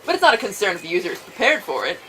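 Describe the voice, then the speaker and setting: A woman's voice: mid-range voice, very animated, very fast, bright, forceful tone, low-quality recording. A woman voicing a stern lead developer giving formal, insistent instructions in a visual novel read-aloud. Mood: firm, serious. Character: stern lead developer briefing virtual reality testers. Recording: faint background noise.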